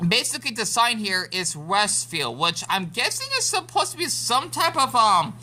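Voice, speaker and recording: A woman's voice: on the high side, very animated, normal speed, pushed and bright. An American woman giving rambling casual commentary as a YouTube reactor, full of fillers and restarts. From United States.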